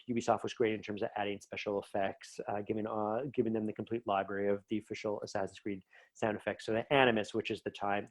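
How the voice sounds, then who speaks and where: A man with a fairly low voice talks as a guest in a casual interview. He explains things enthusiastically, in a loose and rambling way. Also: darkish tone. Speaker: podcast guest, writer.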